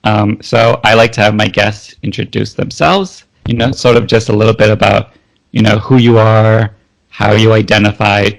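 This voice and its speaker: A man's voice: lowish voice, steady tone, normal speed, creaky, low-quality recording. A man hosting a podcast, asking questions in a friendly, encouraging, conversational way. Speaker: podcast host. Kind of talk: casual interview hosting.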